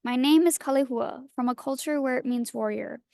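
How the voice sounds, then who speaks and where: A young woman from Hawaii performing spoken word poetry in a measured, rhythmic recitation at a reading. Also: high-pitched, gravelly, warm tone, no echo, clean studio sound. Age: young adult. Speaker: featured youth poet. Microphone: handheld mic at a reading.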